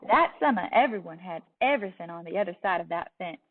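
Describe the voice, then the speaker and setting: A woman with a Southern US accent giving an expressive storybook read-aloud as a teacher, telling it in a young girl's words with soft dips at phrase ends. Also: fairly low pitch, quick pace. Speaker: teacher reading a picture book aloud. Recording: distant, tinny phone-like sound.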